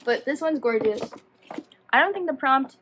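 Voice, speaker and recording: A woman's voice: sits high, lively intonation, moderate pace, background noise. A woman chatting casually to viewers as a book vlogger, rambling and self-deprecating as she goes off on tangents. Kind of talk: casual chatty video talk.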